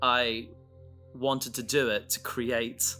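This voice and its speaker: Bright-voiced man in casual podcast chat. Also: mid-range voice, level tone, slow, faint music behind. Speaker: writer and maker of an audio drama, co-host.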